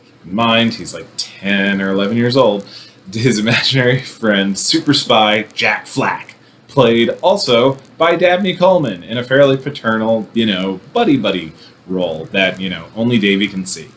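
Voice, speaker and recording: A man's voice: fairly low pitch, expressive, normal speed, fairly bright tone, a little hiss or hum. A man hosting a movie review show in a casual, conversational way, with wry and irreverent asides. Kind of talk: casual, conversational film commentary.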